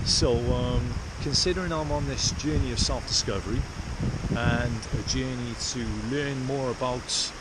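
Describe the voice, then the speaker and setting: A man from South Wales, chatting casually and haltingly to camera as he explores outdoors. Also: mid-range voice. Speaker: vlogger, artist explorer. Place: outdoors in rainy, windy hills. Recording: loud wind and outdoor noise.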